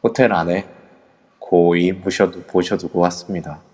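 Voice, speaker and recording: A man playing a male kendo instructor who leads a ghost-hunting group, calm and in charge. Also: sits low, a little slow, slightly rough, long reverb tail. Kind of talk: amateur audio drama acting.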